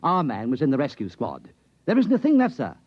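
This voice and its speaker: An actor playing a dutiful, earnest military captain who reports precisely and deferentially in an old radio drama. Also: male voice, fairly high pitch, wildly swooping pitch, fairly quick, no echo. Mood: excited. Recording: dull, dry vintage radio broadcast.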